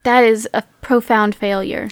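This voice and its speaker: A woman's voice: a little high, steady tone, a little slow, slight background noise. A woman chatting casually as game master of a tabletop roleplay game.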